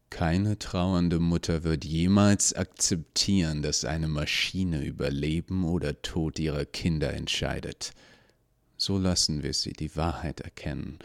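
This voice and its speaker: A man reading an audiobook, voicing a calm, cynical male leader who speaks slowly. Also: a bass voice, gravelly, dry recording, clean studio sound, full character voice.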